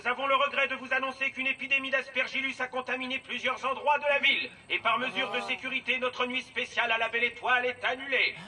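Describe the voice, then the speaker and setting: An actor voicing a formal, solemn official giving announcements in a cartoon. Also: male voice, extremely high pitch, flat delivery, fast, hardly any pauses, bright, forceful tone, recorded from a distance, slight background noise, heavily acted. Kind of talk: animated cartoon dubbing.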